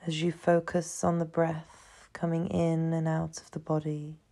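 A woman narrates a guided meditation in a soothing, hushed voice with a gravelly fry at the edges. Her delivery is very slow and evenly pitched, with long pauses between short phrases. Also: completely flat delivery.

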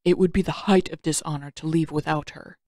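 A woman voicing a calm, formal, patient old uncle with measured delivery in an audiobook. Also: low voice, dry recording, studio quality, natural voice. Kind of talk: audiobook character voice.

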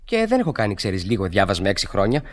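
A very animated young student lover. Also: male voice, fairly low pitch. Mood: amorous, teasing. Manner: animated, bantering. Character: playful, teasing young male medical student in love. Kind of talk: Greek radio theatre acting.

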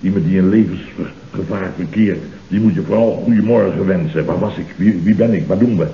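An actor playing a bossy, agitated office boss who barks clipped, repetitive orders in 1970s radio comedy style. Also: male voice, sits low, fairly quick, slight background noise. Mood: panicky, bossy. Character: panicky, bossy, blustering male office boss. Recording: dull, echoey old radio recording.

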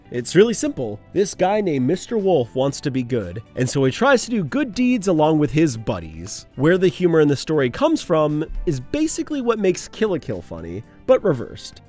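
A man's voice: fairly high pitch, darkish tone. A young man hosting a casual commentary video, with sarcastic, self-mocking asides. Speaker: video essay host.

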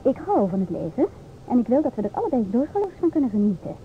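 Sharp-tongued, high-voiced outspoken woman, old radio. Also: very animated, fast. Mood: indignant. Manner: assertive, mocking, theatrical. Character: outspoken, sharp-tongued divorced woman lecturing two inspectors. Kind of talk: Dutch radio play acting. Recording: old radio, thin phone-like sound, noisy.

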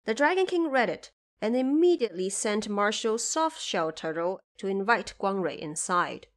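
Female narrator, mid-pitched voice, scripted dramatic storytelling for a classic adventure audio drama. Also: lively intonation, moderate pace.

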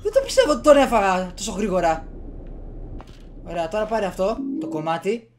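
A man's voice: high-pitched, lively intonation, a little hiss or hum. A young man with a Greek accent giving casual video game commentary, muttering and exclaiming to himself, recorded at home on a desk microphone. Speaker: gaming streamer. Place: small room at home. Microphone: desktop USB microphone. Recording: game music behind the voice.

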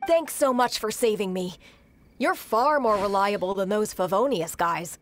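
A woman's voice: medium pitch, unhurried, background noise, natural voice. A woman voicing a frank young underworld informant in a video game, earnest, confiding and slightly dramatic. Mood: grateful, relieved.

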